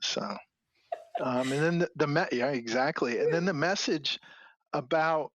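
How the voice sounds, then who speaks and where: A man's voice: on the high side, a little slow, fairly bright tone, no echo, highs cut off. A man who grew up in Hong Kong and central Illinois, a podcast guest telling long stories full of "uh" and "um.". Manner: rambling storyteller with frequent "uh" and "um". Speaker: podcast guest, clinical officer. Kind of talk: casual storytelling interview.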